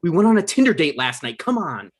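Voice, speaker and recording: A man's voice: on the high side, very animated, quick pace, husky. Man playing an eager, pushy aspiring writer. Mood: pleading. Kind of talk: comedy script table read.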